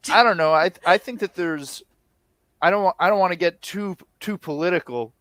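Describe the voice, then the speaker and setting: A man chatting casually as a podcast guest, halting and correcting himself as he goes. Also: sits high, fairly bright tone, no echo. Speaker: podcast guest, comedy writer and performer. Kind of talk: casual chat.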